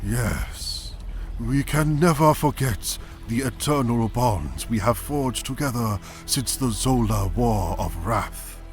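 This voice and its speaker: A man playing a benevolent, solemn aging fish-folk king, stately and measured, in a fantasy audio drama. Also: medium pitch, expressive, soft background music. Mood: warm, resolute.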